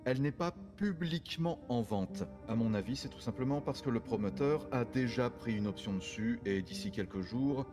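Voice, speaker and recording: Man roleplaying private detective, medium pitch, formal, courteous, deliberate, halting, game roleplay, music behind. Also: animated, natural voice. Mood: grave, measured. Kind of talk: improvised French online roleplay. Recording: background music under the voice.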